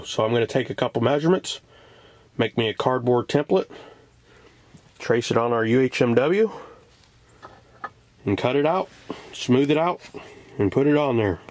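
A man's voice: medium pitch, dry recording. A man hosting a casual hands-on how-to vlog, explaining in short plain steps into a handheld camera. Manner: plainspoken, short step-by-step phrases. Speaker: DIY vlog host. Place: outdoors in a yard and in a parked vehicle. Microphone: handheld camera at arm's length. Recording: clear ambient noise from handheld camera.

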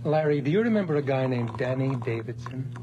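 A man's voice: mid-range voice, animated, a little fast, muffled recording, noisy background, natural voice. An actor playing a bewildered, paranoid man in a radio horror drama, intense and suspicious. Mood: cold suspicion. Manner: muttering to himself, intense, suspicious. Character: bewildered, paranoid man doubting his own identity.

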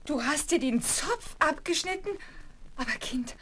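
A woman's voice: sits high, expressive, unhurried, a bit bright, slight background noise. A woman playing a fussy nursemaid talking to a small girl in a 1970s children's radio play. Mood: shocked, scolding.

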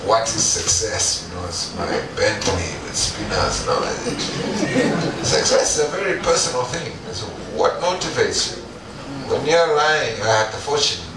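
A middle-aged man, an entrepreneur, giving an earnest, emphatic stage talk with pauses and restarts on a headset mic. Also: lowish voice, sing-song. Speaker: entrepreneur guest speaker. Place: event stage with audience. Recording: echoey room, distant, background noise.

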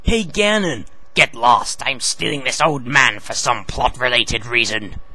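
A man's voice: medium pitch, unhurried, over music, close to an everyday voice. A man voicing a petty, bombastic male villain in an amateur cartoon parody. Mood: bossy.

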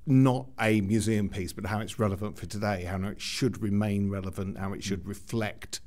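A middle-aged man speaking reflectively as an interview guest, halting and repeating words as he goes. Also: on the low side, fairly even intonation, darkish tone. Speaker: student guest.

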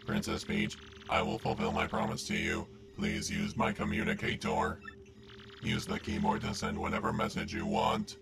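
Gravelly, ultra-deep monotone supercomputer. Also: male voice, moderate pace, very noisy, exaggerated voice. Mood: flat, formal. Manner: formal, stilted, monotone. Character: polite, literal-minded supercomputer curious about feelings. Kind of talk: fan dub of a video game.

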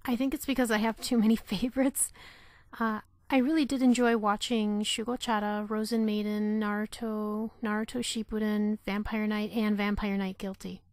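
A woman's voice: mid-range voice, little pitch movement, moderate pace, darkish tone, dry recording. A woman answering casually, giggling as she lists her favorite shows.

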